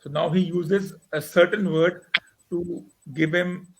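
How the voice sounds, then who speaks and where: A young man moderates a panel discussion. His voice is gravelly and a little husky, and he talks in halting starts, often restarting mid-sentence. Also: high voice, fairly even intonation, poor audio.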